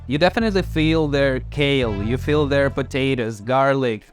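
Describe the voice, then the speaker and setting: Excited man tasting food on camera. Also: mid-range voice, moderate pace. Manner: enthusiastic, laughing. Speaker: food vlogger. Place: outdoors and in a food market. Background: background music.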